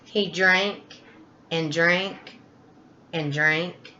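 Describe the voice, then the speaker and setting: Female children's story narrator: slow, very animated, bright delivery on slightly muffled audio. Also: on the low side. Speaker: storybook reader.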